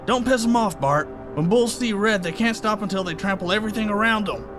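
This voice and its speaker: A man playing a wary, gravelly crook selling meat in a horror comedy audio drama. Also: sits high, a little fast, over music, natural voice. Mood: uneasy. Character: wary gangster meat dealer Benny, older brother's sidekick.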